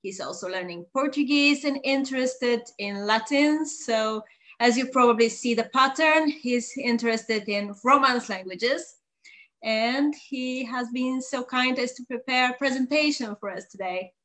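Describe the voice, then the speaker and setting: A woman's voice, a little higher than most, comes through a video call. She co-hosts in a relaxed, curious way and asks friendly follow-up questions. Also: measured pace. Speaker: co-host. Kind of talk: casual video-call interview.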